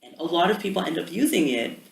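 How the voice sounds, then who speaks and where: A man's voice: a little high, quick pace, vocal fry. A young Canadian man, a student, chatting casually about language with many ums. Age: young adult. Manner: relaxed, many ums, talks at length. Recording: roomy with faint background noise.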